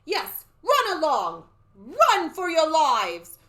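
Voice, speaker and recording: Woman voicing a creepy old soothsayer, very slow. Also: high voice, wildly swooping pitch, a bit bright, voice pushed up, exaggerated voice. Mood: urgent warning. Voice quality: raspy, hissing. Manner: slow, drawn-out, ominous delivery. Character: creepy raspy old female soothsayer with urgent warnings.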